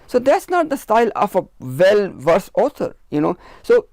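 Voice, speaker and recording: A man with a somewhat high voice lays out his argument point by point in a panel discussion. He speaks firmly and with emphasis, and the sound is dry and close. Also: wildly swooping pitch, moderate pace, darkish tone, no room sound. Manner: methodical, counts points off one by one; emphatic, firm. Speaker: researcher of religious literature. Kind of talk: discussion panel, explaining at length.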